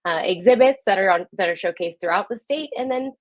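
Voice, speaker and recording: A woman's voice: mid-range voice, expressive, a little fast, no room sound. A woman hosting a live online program, speaking to viewers in a conversational presenter style. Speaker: host.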